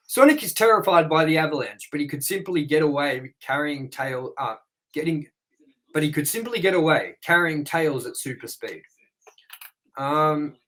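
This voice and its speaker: A man's voice: on the high side, fairly bright tone, rough recording. A man co-hosting a movie review show, reading trivia and goofs from his notes in a casual way and swearing offhandedly.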